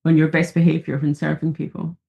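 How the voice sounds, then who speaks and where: Low-voiced woman podcast co-host, casual, lots of quick yeahs and of courses. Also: flat delivery, vocal fry, mellow. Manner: supportive, quick affirmations. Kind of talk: casual chat.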